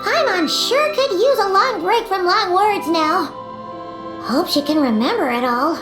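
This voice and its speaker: A woman voicing a small, chatty, perky fairy companion in a video game, with a squeaky childlike voice and expressive delivery. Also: a little slow, reverberant, over music. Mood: cheerful. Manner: speaks of self in third person, expressive. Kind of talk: video game character dialogue.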